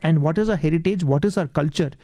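A man with a Tamil Indian accent, a native Tamil speaker, giving earnest, polite and measured answers as an interview guest. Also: on the high side, mellow, no room sound, clean studio sound.

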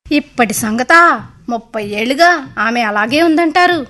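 A woman's voice: sits high, animated, moderate pace, fairly bright tone. A woman playing a blunt, knowing village gossip with a coastal Andhra accent in an audio drama.

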